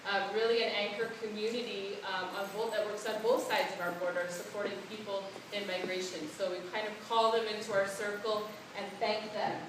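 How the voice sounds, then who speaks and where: A woman presenting a conference workshop, speaking warmly with lots of "um" fillers and repeated phrases. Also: medium pitch, little pitch movement, brisk, hardly any pauses. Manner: warm, filler-heavy, repetitive phrasing. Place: conference room. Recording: echoey room, distant, noisy.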